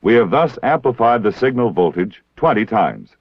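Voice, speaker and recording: A low-voiced American man delivers formal instructional narration with lively rises and falls in pitch. His enunciation is measured and precise, and the sound is that of a dull, dated 1960s film soundtrack. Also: breathy edge, no room sound.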